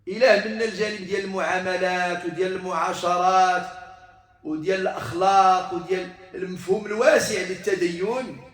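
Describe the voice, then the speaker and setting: A man gives a mosque sermon in a fairly high voice. He is heard in a very roomy, echoing space, a little away from the microphone. His delivery is emphatic and rhetorical, leaning on repetition to drive each point home. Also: fairly even intonation, rapid-fire, fairly bright tone. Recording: roomy echo, distant microphone.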